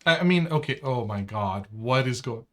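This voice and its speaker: Casual American man, mid-pitched podcast banter. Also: animated, moderate pace. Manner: slangy, peppered with "like" and "man". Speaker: podcast co-host.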